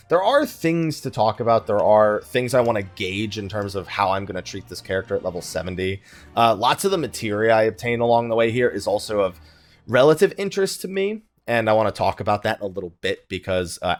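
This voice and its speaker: Guy doing a casual let's play, voice swings up and down a lot, game music behind him. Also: on the low side. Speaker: gaming video host.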